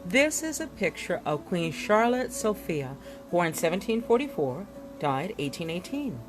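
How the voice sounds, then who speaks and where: A woman reading a history book aloud in an expressive, storytelling way, with deliberate dramatic pauses and emphasis. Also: on the low side, over music. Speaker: reader presenting an illustrated history book. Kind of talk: expressive read-aloud narration with asides.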